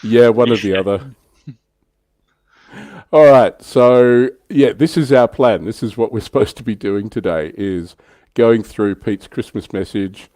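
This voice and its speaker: A man with a very low, dark-toned voice hosts a casual livestream. His delivery is chatty and informal. The sound is dry, with hardly any room on it. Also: takes it slowly, no echo. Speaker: livestream host.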